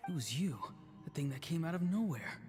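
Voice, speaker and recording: A man's voice: fairly high pitch, lively intonation, brisk, darkish tone, lightly acted. An actor playing an earnest, melancholy young man in a video game who feels weak, speaking in a subdued, introspective way. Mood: defensive. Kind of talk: dramatic video game voice acting. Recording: reverberant game audio under clear music.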